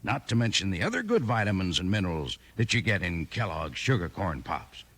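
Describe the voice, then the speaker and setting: Casting: male 1950s radio ad announcer, hearty and homespun, lively intonation, husky edge. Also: fairly quick. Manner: folksy, hearty sales pitch. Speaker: commercial announcer. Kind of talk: 1950s radio ad read to children.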